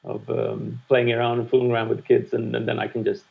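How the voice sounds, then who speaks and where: Frank, reflective man, 50s, gravelly voice, slightly muffled recording. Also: mid-range voice, monotone, fairly quick. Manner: reflective, frank, swears casually. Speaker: guest music producer. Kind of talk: candid conversational interview.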